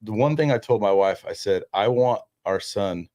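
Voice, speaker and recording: A man's voice: lowish voice, animated, darkish tone, dry recording, clean studio sound. A man in his thirties, a guest in a casual conversation, talking loosely with many restarts. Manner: quick, loose talk with many restarts and "dude".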